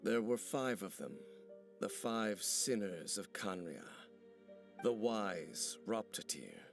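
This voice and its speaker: Deep, brooding ancient warrior. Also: male voice, sing-song, creaky, exaggerated voice. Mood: bitter, solemn. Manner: grave, formal, measured speech. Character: solemn, brooding ancient male warrior, bitter over old betrayal. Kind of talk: video game character dialogue. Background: background music.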